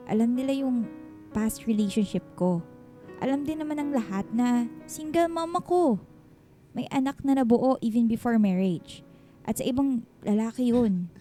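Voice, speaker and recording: A fairly high-voiced Filipino woman , played by an actress, in a radio romance. She is a guarded single mother, chatty and teasing yet unsure of herself, with soft music behind her. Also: natural voice. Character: guarded, self-conscious single mother, playful with her old flame. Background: background music.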